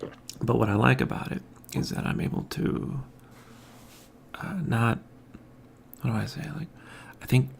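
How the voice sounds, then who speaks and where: A man hosting his own podcast, talking casually and softly in a quiet, mumbling way from a home room with an overhead mic. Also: medium pitch, gravelly, a little hiss or hum. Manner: quiet, soft-spoken, mumbling. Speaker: podcast host. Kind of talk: casual morning monologue. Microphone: hanging overhead mic.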